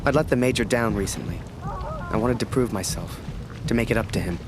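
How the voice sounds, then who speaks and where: A man's voice: on the low side, a little fast, noisy background, natural voice. An actor playing an earnest young Canadian war photographer, telling his story in a candid, confiding way. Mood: rueful. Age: young adult. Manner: candid, plain-spoken, confiding. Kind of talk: scripted storytelling narration. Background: music.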